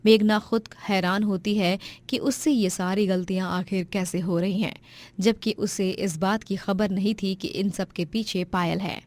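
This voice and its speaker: A woman's voice: mid-range voice, fairly even intonation, measured pace, a little mellow. A woman narrating a scripted moral story.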